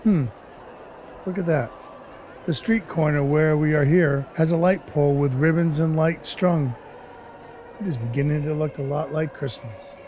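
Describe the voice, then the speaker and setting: A man playing a gruff, soft-hearted dwarf who works as Santa's helper, narrating his own story in an audio play in a wry, hard-boiled way. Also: on the high side, a little slow, a little hiss or hum, close to an everyday voice. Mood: wry, weary. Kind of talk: first-person audio play narration and dialogue. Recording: music under the voice. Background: music.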